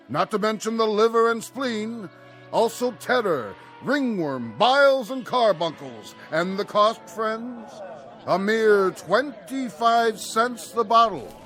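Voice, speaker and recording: A man's voice: sits high, a bit bright, heavily acted. An actor playing a glib travelling medicine salesman with grandiose, theatrical patter in an old TV drama. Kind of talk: old television drama acting, sales spiel.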